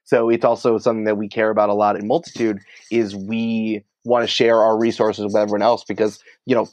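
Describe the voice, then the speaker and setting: Guy chatting in an interview, kinda muffled dry recording, excitable and rambly, says like a lot. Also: on the low side, no room sound. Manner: informal, rambling, excitable, peppered with "like". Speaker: podcast writer and producer, interview guest. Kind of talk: casual conversational interview.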